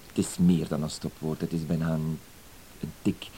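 A man's voice: extremely low pitch, level tone, moderate pace, dark tone, rough recording. An actor playing a fussy, hesitant, apologetic blackmailer in a radio play, circling around his point with verbal tics. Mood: flustered, apologetic. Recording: hissy old radio play recording.

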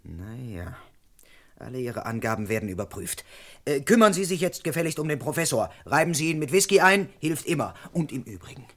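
Deadpan, officious police constable. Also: male voice, mid-range voice, a little fast. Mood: officious, suspicious. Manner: deadpan narration, brusque official questioning. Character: officious, suspicious British police constable, male, narrating his case. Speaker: narrator and lead character. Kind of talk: German radio crime drama acting.

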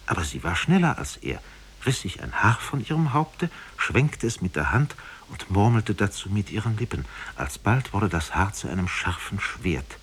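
A man's voice: lowish voice, very animated, moderate pace, fairly bright tone, a little hiss or hum. A man narrating a fairy tale in a solemn, dramatic 1950s radio storytelling style.

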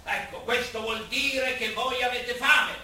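An actor playing a wry, educated antifascist doctor in a stage drama set in 1940s Rome, theatrical and emphatic. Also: male voice, extremely high pitch, steady tone, a little slow, pushed and bright, exaggerated voice. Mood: pompous irony. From northern Italy. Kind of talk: stage comedy-drama acting. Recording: echoey far-off stage recording with noise.

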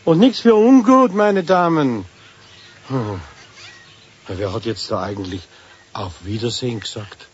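Man as a cheeky Bavarian keeper, animated. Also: medium pitch, a little slow, long pauses, close to an everyday voice. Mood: puzzled. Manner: dry, folksy. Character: gruff, cheeky male zoo keeper. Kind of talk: 1970s children's radio play acting. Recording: dull, faintly noisy old radio recording.

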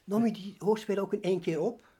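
A man with a fairly high voice chats as an interviewer. He is halting and repeats words, putting in his own views along with the questions. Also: dark tone, slightly rough. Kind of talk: casual interview conversation.

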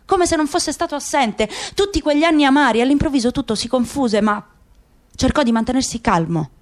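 A woman delivering dramatic literary narration for a radio play, with measured, deliberate phrasing and pauses. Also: sits high, expressive.

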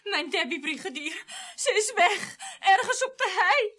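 A woman's voice: extremely high pitch, lively intonation, unhurried, a bit bright, exaggerated voice. An actress playing a frantic, distraught mother in a radio drama, tearful and wailing as she pleads. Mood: panicked pleading.

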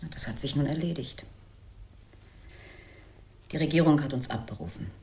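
A woman's voice: sits low, moderate pace, dark tone, a little hiss or hum. An actress playing a sober, regretful government agent, a woman, in a German radio play.

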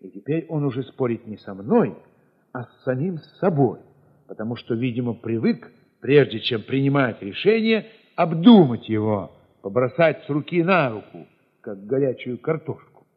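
A man narrating an audio play in the first person, expressive and theatrical, with emphatic pauses. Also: medium pitch, breathy edge. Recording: muffled, long reverb, music underneath.

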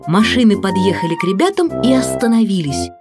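A woman narrating a children's story as an expressive audiobook reader, with music behind her. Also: mid-range voice, a little fast.

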